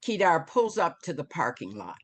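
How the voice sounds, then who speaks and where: A woman reading screenplay stage directions aloud as scripted narration, with dramatic, deliberate phrasing. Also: low voice, big pitch swings, a bit bright, slightly rough.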